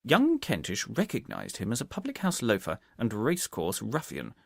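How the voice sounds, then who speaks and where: A man reading a detective story aloud as an audiobook narrator, with expressive scripted delivery. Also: mid-range voice, normal speed, vocal fry, no room sound, clean studio sound.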